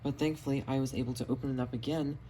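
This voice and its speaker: A man's voice: mid-range voice, steady tone, rapid-fire, dark tone, poor audio. A man casually showing and explaining his radio finds in a matter-of-fact way, recorded on a cell phone in a basement. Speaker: radio collector presenting finds. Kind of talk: casual show-and-tell vlog. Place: home basement. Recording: audible background noise, phone recording.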